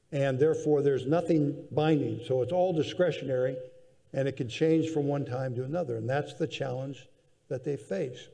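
Older male panel guest, gravelly and measured, echoing hall. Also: mid-range voice, dark tone. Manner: measured, thoughtful, with frequent restarts. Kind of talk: conference panel discussion. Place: large conference hall stage, seated in armchair. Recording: reverberant convention hall.

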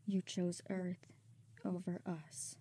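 A stern robot resistance leader, acted by a woman in a dramatic comic dub. The voice is soft-edged and dark. Each grave, imploring phrase is given slowly and deliberately. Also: on the low side, fairly even intonation, close to an everyday voice. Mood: bitter. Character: stern, pleading female robot resistance commander.

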